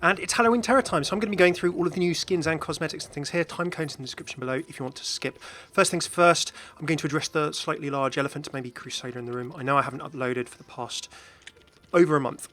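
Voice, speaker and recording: A man's voice: on the high side, fast talker, a bit bright. A man hosting a gaming video with casual, chatty commentary that drifts into hesitant asides. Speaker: video host, game content creator.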